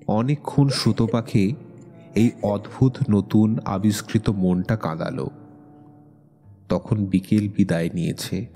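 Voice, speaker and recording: Slow, mellow male storyteller in reverb. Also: fairly low pitch, fairly even intonation. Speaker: story narrator. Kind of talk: dramatic scripted literary narration. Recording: long studio reverb, music underneath. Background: soft music.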